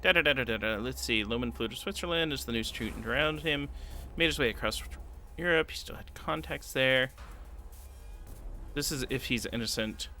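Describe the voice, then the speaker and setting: A man's voice: medium pitch, normal speed, bright, forceful tone. A man preparing his tabletop campaign on a stream, thinking aloud and reading long rulebook passages, now and then humming to himself. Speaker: game master prepping a campaign. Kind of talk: casual solo prep stream, reading rules aloud. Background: background music.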